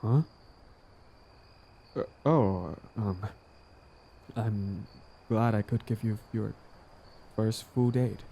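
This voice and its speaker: Guy acting as smug villain boyfriend on a date, low relaxed warm voice, smitten, casual intimate roleplay audio. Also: breathy edge, exaggerated voice. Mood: flustered, hesitant.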